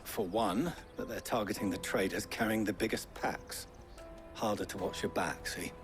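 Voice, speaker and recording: Man acting a low-voiced, wry fantasy tradesman. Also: normal speed, over music, lightly acted. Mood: wry, knowing. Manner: colloquial, matter-of-fact. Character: wry, knowing male furniture maker, townsman. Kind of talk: dramatic video game acting.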